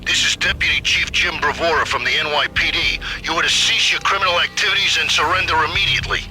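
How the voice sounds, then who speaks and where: An actor playing a stern, blustering deputy police chief in a video game, authoritative and indignant. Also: male voice, a little high, steady tone, fast, pushed and bright, soft background music, poor audio. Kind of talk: dramatic game dialogue.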